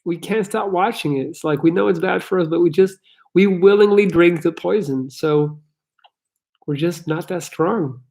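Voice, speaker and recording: A man's voice: high voice, moderate pace, gravelly, darkish tone. A man from Belgium with an American-sounding English accent, hosting a casual live Q&A and answering chat questions bluntly and candidly, swearing freely. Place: his parents' house.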